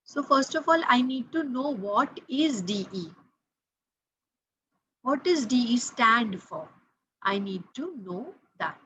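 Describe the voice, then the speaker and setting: Lady teacher explaining french grammar, patient, spells stuff out, kinda high voice. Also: lively intonation, slow, long pauses, bright, forceful tone, muffled recording, a little hiss or hum. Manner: patient, repeats key points, spells out letters.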